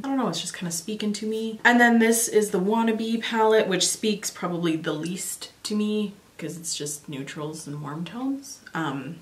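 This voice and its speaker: A young woman with a slightly raspy voice, chatting casually and rambling with many fillers while recording a makeup tutorial at home. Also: mid-range voice, echoey room, slight background noise. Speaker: beauty vlogger. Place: small home filming room, indoors.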